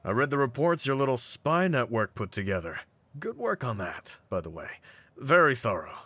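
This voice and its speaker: Casting: male actor for cocky brawler champion, deep voice, streetwise slang, mocking confidence, in-character roleplay audio. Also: very animated, moderate pace, pushed and bright, no echo. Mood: mocking, assured. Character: cocky, brawny half-breed pit-fighting champion, hyping his partner.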